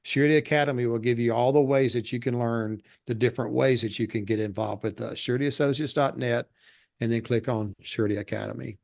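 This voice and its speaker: A man with a monotone voice, a real estate educator giving steady explanations in a casual interview. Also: mid-range voice, fast, vocal fry. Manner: steady explanatory delivery with fillers.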